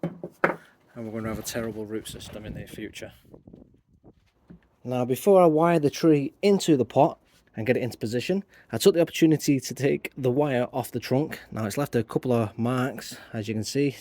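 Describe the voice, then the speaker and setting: A man with a croaky voice talking casually through his bonsai work as host of his channel, thinking aloud as he goes, outdoors. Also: medium pitch, normal speed, darkish tone. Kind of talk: casual hands-on tutorial talk.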